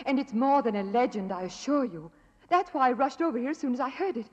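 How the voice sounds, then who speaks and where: A woman's voice: high voice, quick pace, close to an everyday voice. Woman as an earnest young manor lady, echoing. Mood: alarmed. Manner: earnest, polite, dramatic. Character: anxious but well-bred young lady of the manor. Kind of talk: 1940s radio acting. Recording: dull, reverberant old radio recording.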